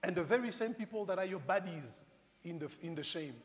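A man delivers a sermon in a notably high voice. He talks conversationally to his listeners, posing questions and retelling scripture as stories. Long reverb from a large hall trails behind his words. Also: animated, brisk. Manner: conversational, rhetorical questions, addresses congregation directly. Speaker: preacher. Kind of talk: animated sermon with acted-out Bible stories.

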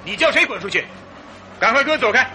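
An actor playing a tense, impatient, hot-tempered husband in a radio drama, urgent in manner and very raspy. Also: male voice, very high-pitched, expressive, fast talker, bright, forceful tone, slight background noise, full character voice. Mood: furious.

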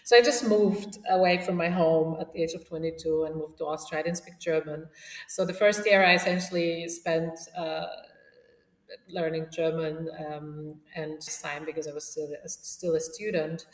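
A woman's voice: sits low, steady tone, moderate pace. A middle-aged woman with a Polish accent, giving conversational answers as an interview guest. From Poland. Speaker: podcast guest, economist and research director. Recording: echoey, distant, reverberant room.

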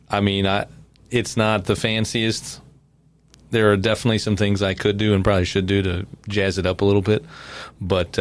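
A man who is a pastor hosting a podcast, talking in a relaxed, rambling way. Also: on the low side. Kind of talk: casual chat.

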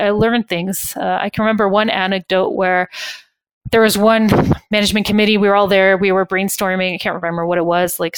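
A woman's voice: medium pitch, clear, resonant tone, no room sound. A woman talks through her experiences in a conversational interview, candid and reflective. Her speech is full of fillers and restarts. The sound is dry and close, with little room. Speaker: guest.

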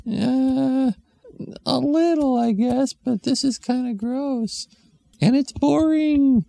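A man doing a whiny, high little girl. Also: slow pace, creaky, warm tone, no room sound, full character voice. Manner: whiny, complaining, high-pitched. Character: whiny young girl, bored and grossed out.

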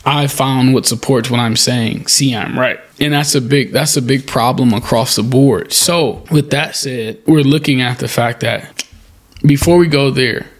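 Gravelly-voiced male podcast host. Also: medium pitch, measured pace. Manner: loose, conversational, many fillers. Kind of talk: casual podcast talk.

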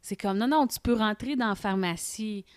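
A woman's voice: medium pitch, moderate pace, a little mellow, no echo, studio quality. Quebec woman in casual, teasing banter. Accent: Quebec French. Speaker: podcast co-host.

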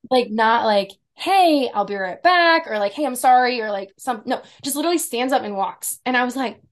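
Woman, 30s, deep-toned yet fairly high-pitched, animated storyteller, casual chat. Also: moderate pace. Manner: animated storyteller, acts out quotes, laughs often. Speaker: podcast guest, esthetician.

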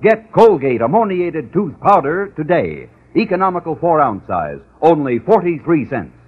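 A man's voice: on the high side, sing-song, moderate pace, heavy background noise. An American man reading an old-time radio commercial with a punchy, declarative sales delivery. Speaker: commercial announcer. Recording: tinny, narrow-band old radio recording.

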